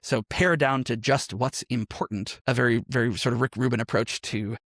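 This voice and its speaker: A man's voice: medium pitch, steady tone, moderate pace, fairly bright tone, no room sound, studio quality. A man speaking as a podcast guest in a conversational interview, explaining things in a thoughtful way. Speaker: podcast guest, musician and role-playing game performer.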